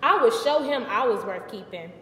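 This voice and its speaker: A woman's voice: on the high side, animated, normal speed, pushed and bright. A young woman performing a live theatrical monologue as an indignant, wry biblical queen, conversational and emphatic. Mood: frustrated. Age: early 20s. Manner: conversational, sassy, emphatic, exasperated. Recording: distant mic, echoey hall, faint noise.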